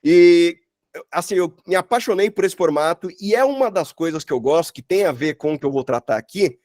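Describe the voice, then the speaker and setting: Chatty, higher-pitched man, dry sound. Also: animated, brisk, a little mellow, no echo. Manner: informal, chatty, full of slang and filler tags. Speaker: workshop instructor, sound designer. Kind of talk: informal online workshop talk. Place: online video call.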